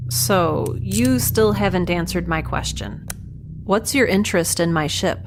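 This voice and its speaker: A woman's voice: fairly low pitch, a little slow, over music. A woman playing a guarded, wry lone space scientist in an audio drama, dry and articulate.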